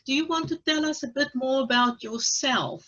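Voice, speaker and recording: A woman hosting a video-call interview as a recovery coach, speaking in a measured, gently encouraging way. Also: high voice. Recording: slightly dull call audio.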